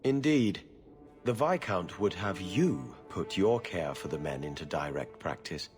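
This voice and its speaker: A pompous city official in a fantasy game. He has a deep voice with animated swings and a slow, formal delivery. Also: faint music behind. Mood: authoritative, approving. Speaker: government official. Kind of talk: dramatic game cutscene acting.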